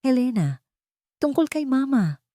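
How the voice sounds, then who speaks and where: A woman's voice: high voice, big pitch swings, unhurried, warm tone, dry recording, pitched above the performer's own voice. A woman narrator plays a worried husband and son in an audio drama. He is earnest and protective. The recording is dry, without room sound. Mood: earnest, firm.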